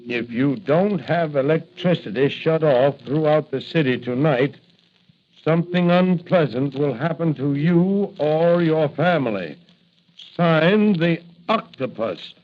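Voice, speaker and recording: An actor playing a proud, stern city mayor and protective father, speaking with deliberate authority in old radio drama style. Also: fairly high pitch, expressive. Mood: indignant. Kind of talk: 1940s radio drama acting. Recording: muffled vintage radio recording.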